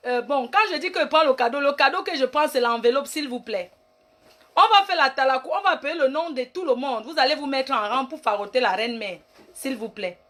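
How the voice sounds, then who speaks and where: A woman's voice: high voice, a little fast, a bit bright. A woman playing a brash, boastful self-styled queen mother in a rambling comic monologue. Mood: bossy. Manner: bossy, rambling, mock-grand, trash-talking. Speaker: comic online personality. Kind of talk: comic live-stream monologue.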